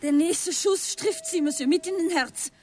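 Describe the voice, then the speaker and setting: A woman's voice: very high voice, moderate pace, a little mellow. An actress playing an agitated, resolute woman who speaks broken German with a French accent in a radio drama. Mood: commanding, furious. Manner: urgent, commanding, broken German syntax.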